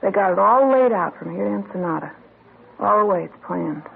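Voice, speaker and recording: A woman's voice: medium pitch, lively intonation, brisk, vocal fry, slight background noise. An actress playing a guarded female informant in an old radio drama, blunt and clipped. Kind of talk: 1950s radio drama acting. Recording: tinny lo-fi old radio broadcast.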